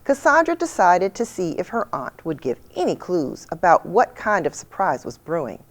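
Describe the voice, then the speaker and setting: A woman reading a children's picture book aloud with expressive storytelling delivery. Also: lowish voice, moderate pace. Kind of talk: storybook read-aloud narration.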